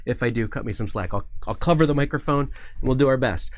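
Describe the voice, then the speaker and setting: A man's voice: mid-range voice, quick pace, dry recording. A man with a cold hosting a livestream in a casual, hesitant, rambling chat style. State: sick with a cold. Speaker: livestream host.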